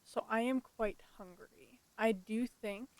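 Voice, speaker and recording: A woman's voice: a little high, takes it slowly, darkish tone, rough recording. A tired woman chatting casually as she live-streams a game on a headset mic.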